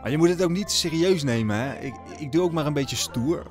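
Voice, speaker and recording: A man's voice: on the high side, animated, a little fast, a little mellow, faint music behind, close to an everyday voice. An actor playing a sheepish young village man, hesitant and bashful, in a radio drama. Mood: apologetic.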